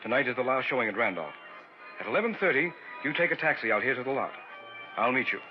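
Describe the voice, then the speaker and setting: An American actor playing a pompous, scheming carnival professor in old-time radio horror, with grandiloquent, commanding patter. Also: male voice, mid-range voice, sing-song, quick pace, lightly acted. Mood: impatient, controlling. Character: pompous, scheming carnival showman professor burying a man alive. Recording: muffled vintage radio recording with music.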